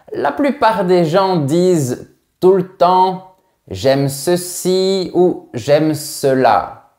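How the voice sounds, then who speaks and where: A man from France teaching French phrases in a scripted lesson, with slow, carefully articulated delivery. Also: high voice, animated, a little mellow. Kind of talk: scripted language lesson with acted dialogue.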